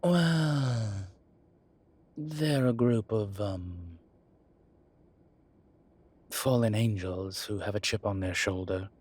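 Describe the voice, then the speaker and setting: Deep, husky, slow-talking incubus played by a man. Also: darkish tone. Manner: measured, wry, hesitant pauses and sighs. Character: suave, wry male incubus demon professor, evasive. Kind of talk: intimate audio roleplay acting.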